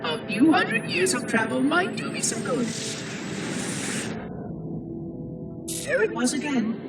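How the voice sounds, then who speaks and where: A man voicing a lonely, fussy, slowly unravelling ancient robot caretaker in a video game, musing formally in a synthetic voice. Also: very high voice, huge pitch swings, exaggerated voice. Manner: formal, verbose, musing monologue. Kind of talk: scripted video game cutscene narration. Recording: echoing, distant, under loud music. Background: loud music.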